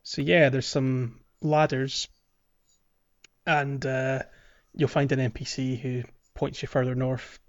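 A man's voice: mid-range voice, little pitch movement, slow. Man podcasting in slightly dull lo-fi sound. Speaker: podcast host. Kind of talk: casual game walkthrough chat, some reading aloud.

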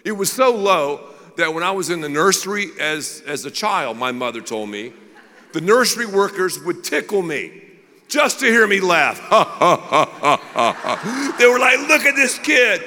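A man's voice: a little high, big pitch swings, a little slow, sharp tone, big-room sound. A man who is a pastor, preaching a sermon in a candid, self-deprecating storytelling way.

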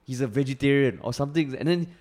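A man's voice: medium pitch, fast talker, a little mellow. A Singaporean man co-hosting a podcast in casual chat, swearing freely and dropping Singlish particles. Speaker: podcast co-host.

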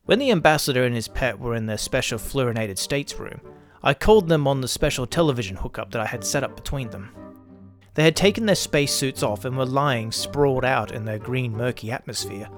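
A male narrator reads scripted pulp science fiction in the first person. His pitch is average for a man. Quiet music sits behind the story. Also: animated, brisk. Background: faint music.

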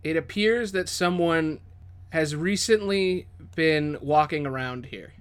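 A man's voice: fairly high pitch, slow, clean tone. A man narrating a tabletop game as game master, with casual, descriptive storytelling.

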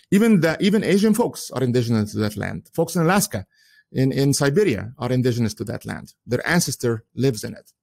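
A man's voice: mid-range voice, darkish tone. A man whose first language is Arabic, giving an earnest solo commentary in English with frequent chuckles and many "uh"s and restarts.

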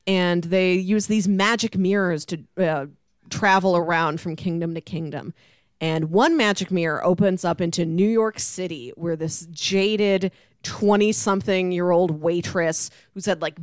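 A woman's voice: fairly low pitch, fairly even intonation, a little slow, smooth, dry recording, clean studio sound. A woman hosting a casual pop culture discussion, chatting like an enthusiastic storyteller. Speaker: podcast host.

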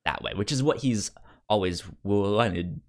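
A podcast co-host in a casual comics discussion, halting and often repeating or stumbling over words. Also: deep voice.

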